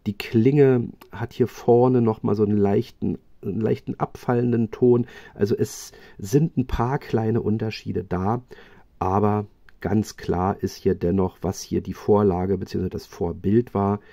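Man, gravelly low voice, chatty review talk with fillers, dry close sound. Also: fairly even intonation, unhurried, mellow, no room sound. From Germany. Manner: chatty, hedging with fillers and restarts. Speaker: knife reviewer. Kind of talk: casual product review. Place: indoors at a table, hands-only video.

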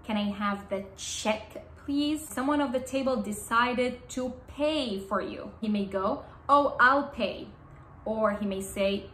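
A young woman whose first language is Arabic, speaking straight to the camera as an English teacher and repeating key phrases slowly and clearly. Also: on the high side, a bit bright. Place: small bright indoor room. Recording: roomy indoor sound with faint room hum.

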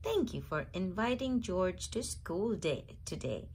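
Caring male storybook guardian, read by a woman, polite and gentle, unhurried with some creak. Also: on the low side, big pitch swings, slight background noise. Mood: polite, fond. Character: friendly, caring man, a monkey's guardian, in a storybook.

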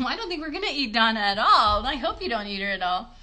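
Bright-voiced, encouraging woman hosting for children. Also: a little high, very animated, fast. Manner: encouraging, reassuring. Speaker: puppeteer and children's show host. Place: bedroom. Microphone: phone a short distance away.

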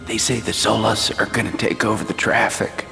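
A man's voice: on the low side, flat delivery, moderate pace, creaky, bright, forceful tone, full character voice. A man playing an anxious, whining small-time prison drug dealer in a video game, jittery and stammering. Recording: long echoing reverb, music behind.

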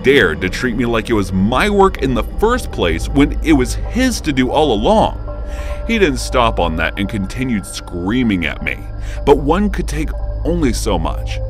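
A man narrating a first-person horror story in a studio voice-over, jumping up when indignant and going low and hushed in tense moments, over background music. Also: normal speed. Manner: expressive, pitch jumps up on indignant lines, drops low and quiet in tense moments.

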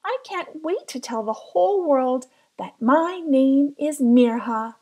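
A woman's voice: high-pitched, animated, slow, dark tone, exaggerated voice. A woman voicing a shy but proud young schoolgirl in an earnest, emphatic storybook read-aloud. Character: shy young schoolgirl finding pride in her name.

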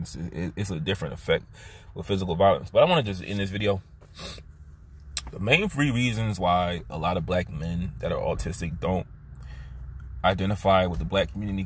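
Deep-voiced young man talking in a car. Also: slightly rough. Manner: halting, with many restarts and fillers, pitch jumping up on stressed words. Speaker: vlogger. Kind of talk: casual opinion monologue to camera. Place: inside a parked car by day. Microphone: phone camera held at arm's length.

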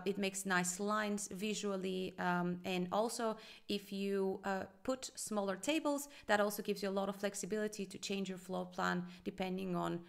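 A woman's voice: on the low side, creaky. A woman in her thirties giving casual commentary as a restaurant consultant, thoughtful and full of fillers, recorded at a desk. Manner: hesitant, many fillers, thoughtful. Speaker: restaurant consultant reviewing a design.

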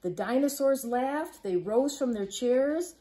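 A woman reads a children's picture book aloud in a living room, with playful emphasis and deliberate phrasing. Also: high-pitched, animated, mellow. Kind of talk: expressive children's storybook read-aloud. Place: living room at home, on a couch.

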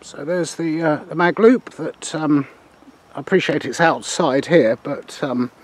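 Older British male presenter, sixties, fairly high voice, halting hands-on explainer, recorded outdoors with some background noise. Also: distant mic. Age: 60s. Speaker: presenter of radio antenna demonstration. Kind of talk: casual unscripted practical demonstration. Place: outdoors in a large grassy garden. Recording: outdoor camcorder, clear background ambient noise.

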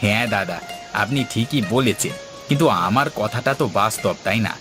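A man's voice: fairly low pitch, animated, brisk, natural voice. Man playing an eager young trader over music. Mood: concerned. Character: respectful newly married young novice trader, eager and agreeable. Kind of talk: cartoon voice acting. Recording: clear music behind the voice.

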